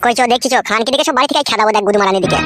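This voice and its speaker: A man's voice: sits high, racing, natural voice. A man voicing a harried, foul-mouthed husband of two wives in a village comedy cartoon, grumbling and cursing. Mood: annoyed. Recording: music behind the voice.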